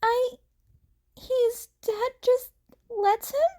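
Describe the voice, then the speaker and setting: Lady doing a flustered teen boy for an audiobook, high pitched, halting and stuttery, super awkward. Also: steady tone, warm tone, heavily acted. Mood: surprised. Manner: stammering, halting, blurting. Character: nervous, awkward teenage boy, eager and easily flustered. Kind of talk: fan fiction audiobook character voice.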